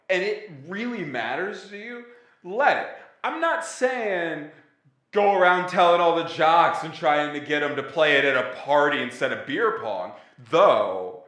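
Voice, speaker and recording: A young man talks on a podcast with a slightly raised pitch and a very lively, swooping delivery. He teases his co-host and laughs easily. The recording has a noticeable room echo. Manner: animated, teasing, laughs often. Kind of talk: casual podcast chat. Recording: echoey room.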